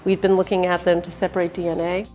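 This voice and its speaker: Female interviewee, somewhat low pitch, fluent and plain explainer, quiet music bed. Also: flat delivery, fast.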